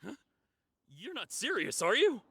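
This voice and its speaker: A man voicing a boastful, excitable thief in an anime game, dramatic and easily exasperated. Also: very high voice, a little slow, husky, no echo, exaggerated voice. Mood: alarmed. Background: background music.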